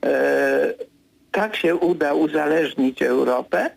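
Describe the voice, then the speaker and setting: An older man analyses politics as a guest in a radio studio. His voice sits a little high for a man, with a raspy, gravelly grain. He is emphatic and keeps driving his main points home. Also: slow pace.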